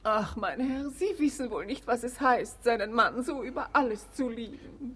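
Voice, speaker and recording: An actress playing a scheming wife who feigns cloying devotion, affected and melodramatic, in a German radio comedy. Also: female voice, on the high side, moderate pace, a bit bright, husky. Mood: tearful devotion. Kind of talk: German radio comedy acting of a classic play.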